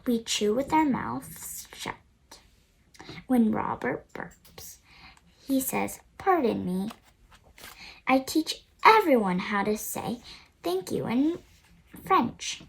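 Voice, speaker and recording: A girl of about nine reading a picture book aloud, careful and a little halting as she goes. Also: fairly high pitch, animated, gravelly, a little hiss or hum, poor audio. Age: child, about nine. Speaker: young reader of a picture book. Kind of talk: storybook read-aloud narration.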